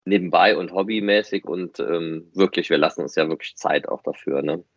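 Low-voiced man in casual podcast chat. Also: moderate pace, no room sound. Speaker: fan podcast co-host. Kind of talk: casual explanatory chat about a hobby production. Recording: slightly dull, dry close recording.

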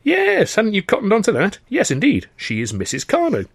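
A man's voice: high-pitched, huge pitch swings, fairly quick, gravelly, husky, no room sound, clean studio sound, pitched above the performer's own voice, exaggerated voice. A man voicing a cheerful, gossipy upper-class young man with a chummy, posh-sounding manner.

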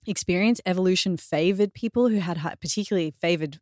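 Earnest, chatty woman with a lowish voice. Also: fairly quick, dry recording, clean studio sound. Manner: animated and earnest, many "like" fillers, self-corrections. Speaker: podcast guest, fitness trainer. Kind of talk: casual podcast conversation.

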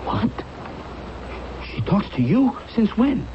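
Anxious husband, urgent and stammering, swooping delivery, noisy vintage radio play. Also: male voice, high-pitched, sing-song, takes it slowly, full character voice. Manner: urgent, stammering, repeats his words. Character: agitated, anxious husband pleading for answers about his wife. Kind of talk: 1970s radio drama acting. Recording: noisy, dull 1970s radio broadcast.

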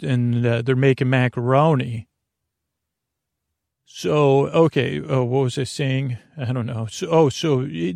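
A man hosting a bedtime podcast in a meandering, halting monologue, often interrupting himself and stammering into restarts. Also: medium pitch, vocal fry, a little mellow, no echo, clean studio sound. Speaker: podcast host.

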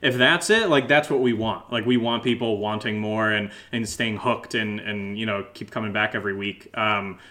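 A man's voice: on the low side, fairly even intonation, moderate pace, hardly any pauses, pushed and bright. A man co-hosting a podcast in a casual, unscripted chat, informal and hesitant, peppering sentences with "like". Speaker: podcast co-host.

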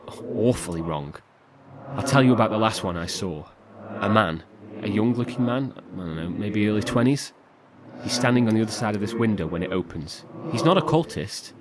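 An actor playing a weary, frightened survivor trapped in darkness, delivering a halting, confiding monologue in a horror audio drama. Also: male voice. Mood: reluctant, grave. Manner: halting, confiding, with sighs and swearing. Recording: clearly noisy, rumbling ambience.

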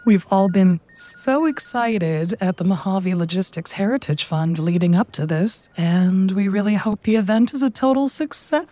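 A woman's voice: mid-range voice, lively intonation, normal speed, hardly any pauses, mellow, no echo, close to an everyday voice. An actress playing a chipper female corporate charity representative with a rehearsed, promotional delivery.

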